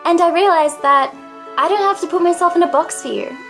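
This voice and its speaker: Teen girl vlogger, very high girlish voice, dramatic and self-mocking, faint music underneath. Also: a little fast, a bit bright. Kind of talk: confessional vlog monologue.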